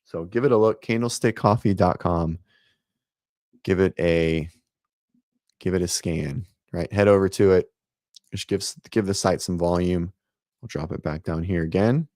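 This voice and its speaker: Raspy low guy rambling on a livestream, lots of ums, dry close mic. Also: moderate pace, pauses often, darkish tone, no room sound. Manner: rambling, many ums and restarts. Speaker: host of a fitness livestream show. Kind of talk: casual livestream chat. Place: home office. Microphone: set-up studio mic.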